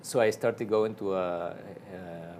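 Argentine priest guest, lower voice, halting with lots of "uh" and restarts, faint background hum. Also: male voice, steady tone, a little mellow. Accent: Argentine Spanish. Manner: halting, many fillers and restarts. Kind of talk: casual interview storytelling.

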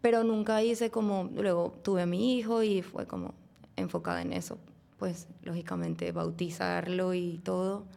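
Low, gravelly woman. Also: fairly even intonation, moderate pace, mellow. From Venezuela. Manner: hesitant, frequent fillers. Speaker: guest. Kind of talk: casual personal testimony.

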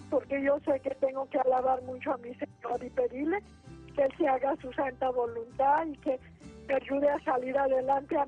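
A woman in her eighties with a Mexican accent, calling in to pray and share her troubles, devout and rambling with many restarts. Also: high voice. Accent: Mexican Spanish. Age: 80s. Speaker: caller. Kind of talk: spoken prayer and testimony. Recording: tinny phone line.

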